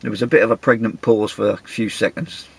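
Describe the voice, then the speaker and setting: An English man, middle-aged, with a gravelly, husky voice, telling a travel story in a chatty, wry, self-mocking way. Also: on the low side, steady tone, a little fast. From England. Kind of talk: casual anecdotal storytelling.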